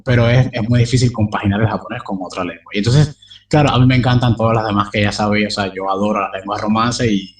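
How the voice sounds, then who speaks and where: A man's voice: fairly low pitch, recorded from a distance. A young man talking casually as an interview guest, rambling with many fillers and restarts. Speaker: polyglot interviewee.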